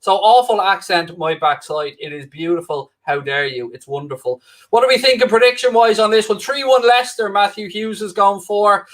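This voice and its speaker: A man's voice: sits high, moderate pace, fairly bright tone. A man with a Dublin-Wicklow Irish accent, filling in as host of a live football watchalong, chatting casually and bantering with the viewers in a cheeky, self-mocking way. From Ireland. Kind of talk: casual live-stream chat reading viewer comments. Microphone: new microphone, close.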